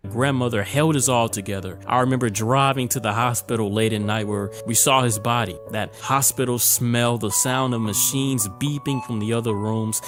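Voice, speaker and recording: A man's voice: mid-range voice, steady tone, normal speed, hardly any pauses. A man reading scripted video essay narration in a dramatic storytelling manner. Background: background music.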